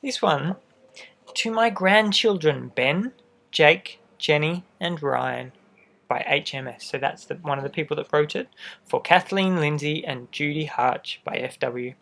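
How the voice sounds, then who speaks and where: A man reads a picture book aloud to young children in a gentle, expressive way, adding little asides straight to the listener. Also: a little high, measured pace, creaky. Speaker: storybook reader for children.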